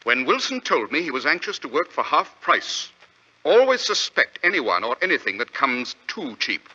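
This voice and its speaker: A brilliant, self-assured detective in an old radio play. His voice is very bright and forceful, with animated, theatrical swings as he lays out deductions. The recording is dull, vintage lo-fi. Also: a little high, moderate pace, full character voice. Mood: confident, explanatory. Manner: crisp, theatrical, didactic deduction. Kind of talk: 1940s radio drama acting.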